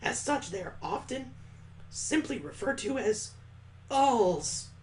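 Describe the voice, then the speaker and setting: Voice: mid-range voice, animated, slow pace, a little mellow, a little hiss or hum, voice pushed up. A let's player voicing a prim, knowledgeable schoolgirl who speaks formally in a visual novel. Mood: measured, serious. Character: prim, knowledgeable schoolgirl acting as assistant teacher.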